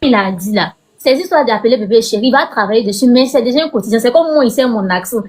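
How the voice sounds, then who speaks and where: A woman's voice: a little high, expressive, fast talker. A woman in casual talk, giving directions and explaining things plainly.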